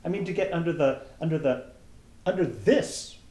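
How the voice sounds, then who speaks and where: A man's voice: fairly high pitch, normal speed, warm tone, reverberant, voice pushed up, natural voice. A man reading aloud as a curious young girl who rambles and muses to herself, timid when asking questions. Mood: musing.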